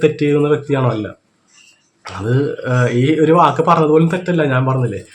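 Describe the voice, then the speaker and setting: A man with a Kerala accent giving unscripted reaction commentary, explaining his points and often checking that listeners follow. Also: mid-range voice. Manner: explanatory, repeats check-in tag questions.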